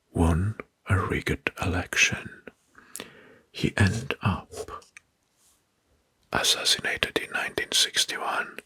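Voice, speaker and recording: A man whispers very close and dry, in a very breathy, hushed voice with almost no full tone. He narrates facts in a soft-spoken ASMR style, unhurried and deliberate, softly repeating names. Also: low voice, no echo.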